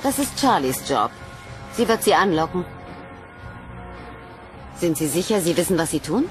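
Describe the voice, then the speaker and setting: A woman's voice: on the low side, big pitch swings, moderate pace, music in the background. An actress playing a cautious, concerned female scientist in a sci-fi audio drama, formal and admonishing. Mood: stern, cautious.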